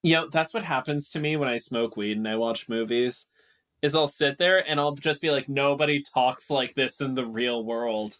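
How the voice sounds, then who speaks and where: Man, average pitch, casual podcast banter, jokey, geeky. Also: moderate pace, a bit bright. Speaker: podcast host. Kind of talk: casual chat.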